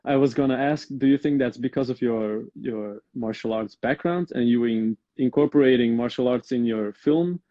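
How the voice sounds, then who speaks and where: A man's voice: medium pitch, normal speed, hardly any pauses, mellow, no room sound, muffled recording. Hesitant young man from Belgium. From Belgium, Antwerp. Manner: hesitant, fills with "um" and "like". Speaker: podcast host. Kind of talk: casual interview.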